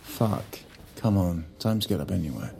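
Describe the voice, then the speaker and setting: A needy husband who has just woken, in an audio drama. His voice is creaky and muttering, halting as he pleads. Noise sits behind him. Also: low voice, animated, mellow. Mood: hurt, frustrated. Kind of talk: naturalistic scripted audio drama acting.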